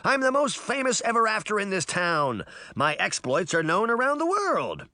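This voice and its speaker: A man's voice: medium pitch, sing-song, measured pace, gravelly, bright, forceful tone, no room sound, heavily acted. A man voicing a boastful, indignant fairy trickster boy in a theatrical, crowing way for an audiobook. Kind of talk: audiobook character voice.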